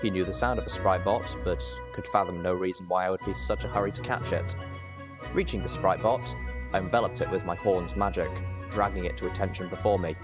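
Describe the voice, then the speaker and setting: A man's voice: deep voice, steady tone, fairly quick, music in the background. A man reading a scripted story aloud as its narrator. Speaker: narrator, reader.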